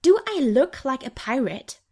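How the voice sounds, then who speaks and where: An indignant teenage girl from a fantasy storybook, voiced by a woman. She is high-pitched and bewildered, quick to snap back and protest. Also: sing-song, mellow, full character voice. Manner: snappy, protesting.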